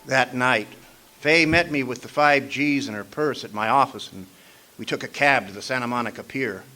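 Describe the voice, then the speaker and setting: Man playing a bright-voiced wisecracking private eye. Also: medium pitch, normal speed, a little hiss or hum, lightly acted, rough recording. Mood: dry narrating. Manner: sardonic, hardboiled patter. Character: wisecracking hardboiled male private eye, exasperated narrator. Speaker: actor. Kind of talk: detective radio drama parody narration.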